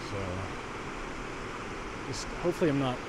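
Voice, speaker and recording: A man narrates a hike up a slippery, snowy trail, talking casually in between breaths. His voice sits at an ordinary middle pitch. The camera mic picks up strong outdoor noise and rushing water behind him. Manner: chatty, talks between breaths while climbing. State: winded from walking uphill. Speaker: vlogger and virtual walk guide. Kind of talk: casual narration while hiking. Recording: outdoor recording with loud wind and water noise.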